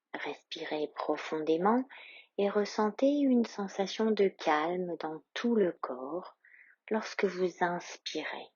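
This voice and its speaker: A woman yoga instructor leading a guided meditation in a calm, soothing, soft and breathy voice with long, measured pauses. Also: fairly low pitch, no echo, highs cut off.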